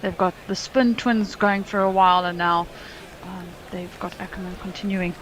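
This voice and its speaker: A woman's voice: fairly low pitch, fairly even intonation, normal speed, fairly bright tone, rough recording. Chatty woman cricket co-commentator. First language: English; Afrikaans is her second language. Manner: chatty, easygoing analysis. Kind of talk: live sports commentary chat.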